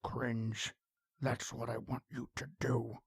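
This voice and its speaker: An actor playing a cruel, domineering vampire brother in a horror radio play, with a gravelly, husky voice and a commanding, sneering manner. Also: male voice, mid-range voice, level tone, slow pace, no echo, studio quality, exaggerated voice. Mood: menacing. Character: cruel, domineering undead vampire brother, menacing from his coffin. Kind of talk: old-time radio horror acting.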